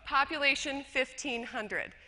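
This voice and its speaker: A woman's voice: sits high, measured pace, bright, forceful tone. A woman educator from rural western New York giving a chatty, self-deprecating conference talk with slides, full of fillers and restarts. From rural western New York, USA. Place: lecture hall. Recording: long hall reverb.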